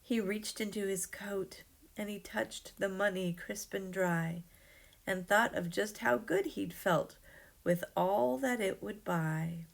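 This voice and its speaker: A woman reading a rhyming children's story aloud in an expressive, dramatic way. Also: mid-range voice, slow pace, vocal fry.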